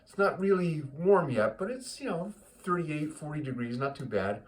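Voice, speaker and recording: A man hosting a casual joke segment, speaking to listeners in a rambling, halting way and often repeating words as he goes. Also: a little high, expressive. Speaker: joke teller, host of a regular joke segment.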